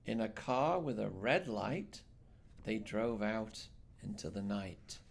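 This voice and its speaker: A man reading a children's picture book aloud in an expressive, measured storytelling style with dramatic phrasing. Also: fairly low pitch, creaky, breathy edge. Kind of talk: expressive bedtime read-aloud narration.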